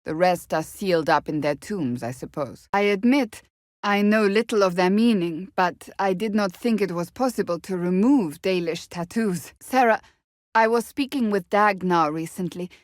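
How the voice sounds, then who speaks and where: A woman's voice: medium pitch, moderate pace, no room sound, clean studio sound, natural voice. An actress playing a stern, devout, guarded warrior woman in a video game, speaking in a formal, clipped and serious way. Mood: measured, dry. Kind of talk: scripted video game dialogue.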